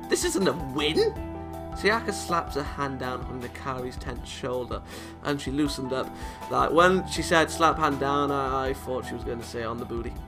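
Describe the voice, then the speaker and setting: A man's voice: mid-range voice, normal speed. Man reading aloud over prominent music. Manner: breaks off into joking, teasing remarks on the story. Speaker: let's-play host. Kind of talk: reading a visual novel aloud with casual asides. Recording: game music behind voice. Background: background music from the game.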